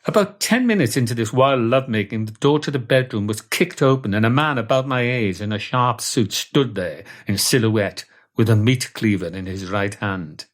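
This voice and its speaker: A Welsh man telling a comic first-person story in a deadpan, wordy way. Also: mid-range voice, moderate pace.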